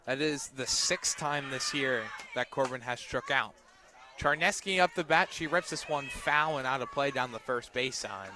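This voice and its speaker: A man doing live radio play-by-play of a softball game as the announcer. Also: medium pitch, moderate pace. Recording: noisy outdoor broadcast with crowd behind. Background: crowd and outdoor ambience.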